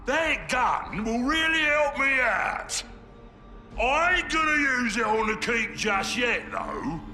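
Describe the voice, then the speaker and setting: A gravelly shouting ork warlord. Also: male voice, extremely high pitch, sing-song, slow, heavily acted. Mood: bellowing. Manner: bellowing, sly and scornful. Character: scheming brutish ork warlord, gruff. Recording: roomy game cutscene audio with music.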